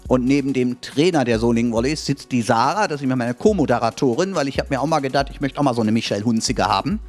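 A man's voice: medium pitch, lively intonation, fast, soft background music. A middle-aged man hosting a casual talk show in a spa studio, chatty and joking, speaking into a handheld mic. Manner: jokey, chatty.

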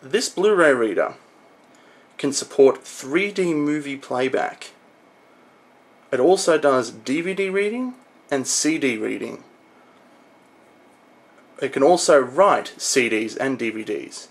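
A man's voice: mid-range voice, a bit bright, husky. A young man giving an informal, explanatory product walkthrough on camera as a video presenter. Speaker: video presenter showing computer parts.

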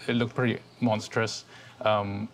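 A man's voice: fairly low pitch, flat delivery, a little slow, slight background noise. A man who works in visual effects, talking in a behind-the-scenes interview. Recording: music playing behind the voice.

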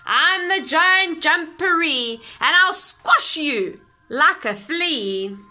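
A woman voicing a loud, boastful, menacing monster in a children's storybook, with slow, drawn-out booming lines. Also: expressive, faint music behind, exaggerated voice.

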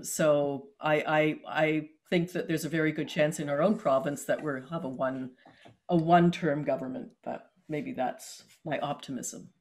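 A woman in her sixties, from Canada, speaking earnestly over a video call with many ums and restarts. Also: low-pitched. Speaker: author in conversation. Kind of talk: casual video-call conversation. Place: home room on a video call.

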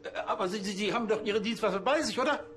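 An actor playing a flustered, officious police chief with a pompous manner in a TV crime comedy. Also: male voice, high voice, fast talker, close to an everyday voice. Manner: pompous, stammering when thrown.

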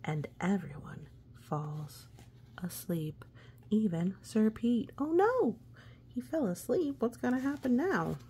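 A woman's voice: on the high side, lively intonation, takes it slowly, dark tone, a little hiss or hum. A woman reading a children's story aloud with playful, exclamatory asides, talking as if to a young child. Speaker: picture book reader.

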